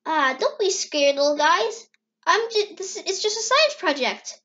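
A woman's voice: extremely high pitch, expressive, a little slow, bright, forceful tone, highs cut off, exaggerated voice. A woman voicing an eager, playful little boy fox in an amateur comic dub.

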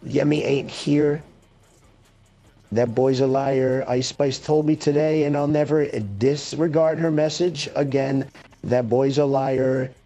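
A man's voice: mid-range voice, fairly even intonation, takes it slowly, warm tone, lo-fi sound. A man voicing a bragging, petulant caricature of an American ex-president through an AI voice changer, bickering and swearing on a livestream. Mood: demanding, aggrieved. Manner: boastful, petulant, foul-mouthed bickering.

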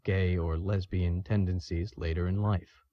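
An actor playing a grave, authoritative expert explaining things in an audio drama. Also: male voice, low voice, flat delivery, unhurried, lo-fi sound. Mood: grave, explanatory.

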